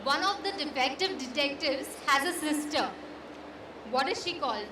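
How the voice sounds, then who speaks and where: A woman's voice: high-pitched, expressive, moderate pace. A woman hosting a live quiz at an event, putting questions to the audience. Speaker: magazine editor and quiz host. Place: comic convention event. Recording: echoey noisy crowded hall, distant pickup.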